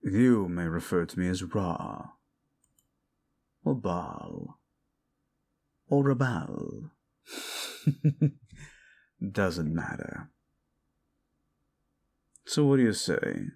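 A smug male demon, acted by a man, mocks his listener in an intimate roleplay drama. His voice sits low and creaks with fry, while his pitch swings widely as he condescends. He is sardonic and exasperated throughout. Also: wildly swooping pitch, very slow, pauses often, a little mellow, full character voice. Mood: taunting, smug. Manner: condescending, mocking, drawling exasperation.

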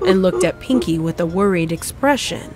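A woman's voice: fairly low pitch, expressive, moderate pace. A woman narrating a scripted comedic story as a storyteller. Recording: faint music behind the voice.

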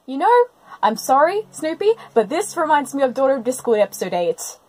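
A woman's voice: sits high, big pitch swings, brisk, a little mellow. A woman giving casual reaction commentary, dropping exclamations and asides to the characters as she watches.

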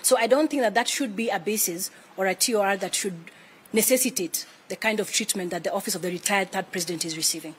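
A woman's voice: mid-range voice, quick pace, breathy edge. A woman in her thirties with a Kenyan accent, answering press questions as a spokesperson in a formal, explanatory way. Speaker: spokesperson for a retired president's office. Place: indoor room with flags, press briefing.

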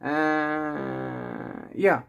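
A man in his forties giving a casual, unscripted book chat, rambling in an animated way with exasperated asides. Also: a little high, very slow, gravelly, clean tone. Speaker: book reviewer vlogger. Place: small room at home with bookshelves.

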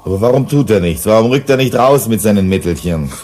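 An actor in a German sci-fi radio play, playing a blunt, hard-nosed mining boss with a gruff, dismissive manner. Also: male voice, on the low side, animated, fast, darkish tone, a little hiss or hum, lightly acted. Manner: gruff, dismissive, self-assured.

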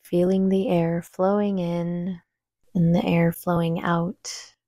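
A woman leading a guided meditation with calm, soothing, unhurried instruction. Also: lowish voice, dry recording, studio quality.

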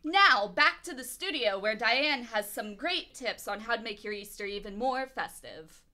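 Actress as chirpy field reporter for radio drama. Highish, bright, polished. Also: female voice, expressive, moderate pace. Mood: upbeat.

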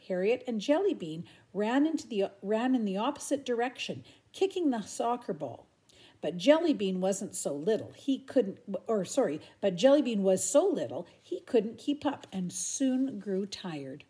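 A woman's voice: medium pitch, warm tone. An older woman from Canada reading a children's storybook expressively at home. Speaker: storybook reader. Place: room at home.

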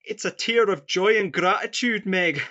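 An actor playing an earnest young Scottish peasant lad in love, sentimental and declamatory, in a farcical radio play. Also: male voice, high voice, normal speed, sharp tone, lo-fi sound. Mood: tearful, grateful.

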